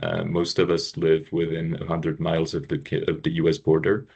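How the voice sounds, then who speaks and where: A man's voice: very deep voice, completely flat delivery, normal speed, creaky, a little mellow, husky. A man from Quebec with a French accent, giving an informative webinar presentation with frequent ums and uhs. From Quebec, Canada. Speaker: trade consultant presenting.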